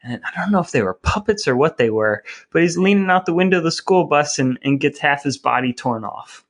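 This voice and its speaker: A man's voice: on the high side, lively intonation, a little fast. A man in his thirties or forties co-hosting a podcast, telling rambling stories in casual, wry banter. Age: 30s or 40s. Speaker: podcast co-host.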